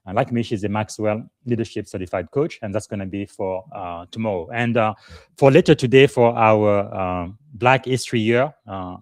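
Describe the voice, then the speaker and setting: A man's voice: on the low side, moderate pace, dry recording. Man hosting a live podcast, dry sound. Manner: warm formal introductions with many "uh" fillers. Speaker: podcast host and leadership coach.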